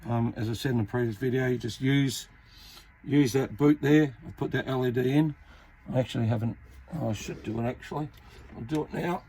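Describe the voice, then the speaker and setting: A man giving an informal, matter-of-fact repair tutorial, pausing mid-sentence as he works. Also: mid-range voice, creaky, darkish tone, slight background noise, poor audio. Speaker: hobbyist radio repairer, video host. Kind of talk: casual hands-on repair tutorial.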